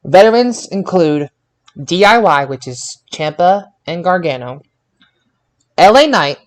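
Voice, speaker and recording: A man's voice: high voice, very animated, unhurried. Man hosting a casual podcast. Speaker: podcast host leading the show. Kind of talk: casual wrestling review chat.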